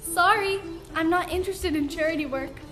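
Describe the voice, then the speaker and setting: A woman's voice: very high-pitched, moderate pace, music in the background. A teenage girl playing a proud, desperate woman surgeon in a school radio play, with dramatic, declamatory delivery. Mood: haughty, cold.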